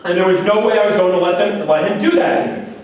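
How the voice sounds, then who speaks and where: High-pitched deluded killer, echoey and distant man acting. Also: fast talker, a little mellow, close to an everyday voice. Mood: agitated, defiant. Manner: insistent, self-righteous. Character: deluded, self-justifying murderer, obsessive and pleading man. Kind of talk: staged play reading. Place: echoey room at a staged reading, far microphone. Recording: very echoey room, distant mic, faint noise.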